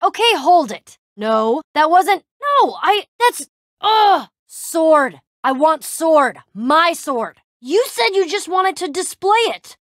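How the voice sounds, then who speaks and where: A woman's voice: extremely high pitch, sing-song, takes it slowly, no room sound, clean studio sound, exaggerated voice. An actress playing a tough, guarded young woman adventurer in a video game, speaking casually with wry exasperation and hesitant trailing-off. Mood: flustered, exasperated.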